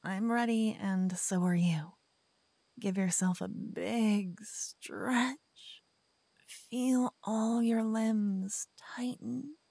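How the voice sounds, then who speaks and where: A woman voicing a cocky, playful lover who whispers and teases softly in an ASMR roleplay. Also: medium pitch, fairly even intonation, drawn-out words, gravelly, no room sound. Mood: playful teasing. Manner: playful, teasing, soft whispering. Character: cocky playful young woman, a devoted lover waking her partner. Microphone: binaural mic.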